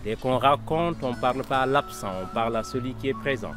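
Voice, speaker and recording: A man from Senegal who has lived in France for about twenty years, speaking calmly and reflectively about storytelling. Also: mid-range voice, fairly quick, music in the background. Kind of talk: interview.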